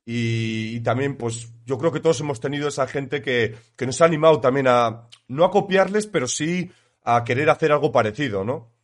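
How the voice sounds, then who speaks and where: A man's voice: medium pitch, normal speed. A man with a Spain Spanish accent hosting a casual interview, asking long, winding questions. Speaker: interviewer host.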